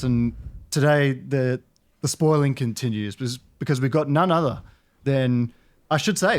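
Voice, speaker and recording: A man hosting a coffee-style podcast, asking his guest questions at a middling pitch. He keeps it friendly and relaxed, talking loosely with no script. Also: no echo. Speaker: podcast host. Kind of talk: casual interview chat.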